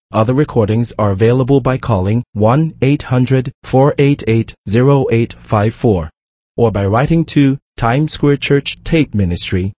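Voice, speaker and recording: A man reading a formal recorded copyright notice in an even way. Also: mid-range voice, husky, dry recording. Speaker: announcer.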